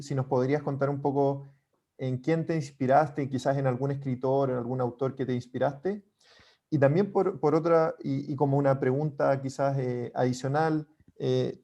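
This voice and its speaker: Polite Chilean man with a mellow voice. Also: mid-range voice. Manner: polite, long winding questions with fillers. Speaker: book club reader asking a question. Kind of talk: prepared question in a video call.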